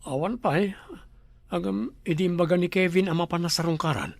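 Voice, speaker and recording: A man's voice: sits high, a little fast, gravelly, no room sound. A young man with an Ilocano accent, acting in a comedy drama, teasing and quizzical.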